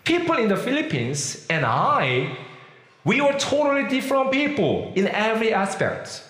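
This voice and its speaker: A man with a Korean accent preaches a sermon, his fairly high voice swooping up and down as he acts out the people in his stories. He leans hard on key words. The sound rings around an echoey room. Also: very animated, moderate pace, fairly bright tone. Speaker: pastor.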